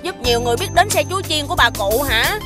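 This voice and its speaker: A woman's voice: high voice, animated, very fast, music in the background. A woman voicing a small, frank, friendly magical creature child in a children's cartoon, with a southern Vietnamese accent. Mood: concerned.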